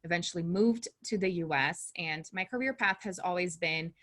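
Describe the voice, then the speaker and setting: Brazilian woman in her 30s, portuguese first language, lowish voice, open and chatty, laughs a lot. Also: normal speed, a bit bright. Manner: candid, laughs easily. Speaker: empowerment coach, guest. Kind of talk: interview conversation.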